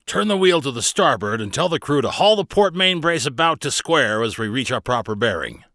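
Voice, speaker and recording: Man playing a brisk, commanding pirate mate. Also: mid-range voice, sing-song, bright, forceful tone, dry recording, studio quality, pitched above the performer's own voice. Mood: wry, instructing.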